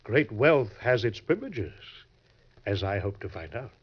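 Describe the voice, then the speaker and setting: Radio play uncle: husky older schemer, mannered and fussy, swooping delivery, dull vintage sound. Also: male voice, fairly low pitch, very animated, measured pace, exaggerated voice. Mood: smug, puzzled. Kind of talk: old-time radio drama acting.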